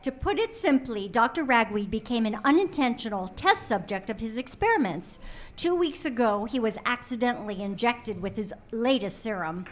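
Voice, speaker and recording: A woman's voice: a little high, lively intonation, normal speed, lightly acted. A woman voicing a prim young assistant who speaks properly and patiently.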